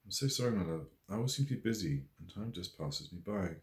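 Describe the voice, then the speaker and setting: A man's voice: low voice, animated, fast talker, reverberant. A man voicing an affectionate, teasing woman in an audiobook story, talking in a fond, wry way. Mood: fond, apologetic. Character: affectionate, teasing woman chatting with lifelong friend.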